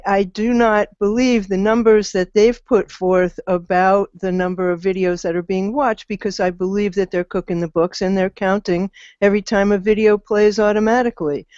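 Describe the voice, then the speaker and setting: A woman's voice: mid-range voice, a little mellow, no room sound. An older woman speaking as a panelist in an online discussion over a video call. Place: indoor room on a video call. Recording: dull, lo-fi video call audio.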